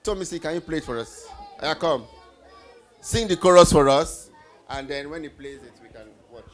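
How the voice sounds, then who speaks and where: A man's voice: a little high, normal speed, some chatter behind. A man hosting an interactive children's Bible teaching session on stage, encouraging and instructive, often prompting with questions and repeating answers. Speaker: host leading children's Bible trivia. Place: event stage before a group of children.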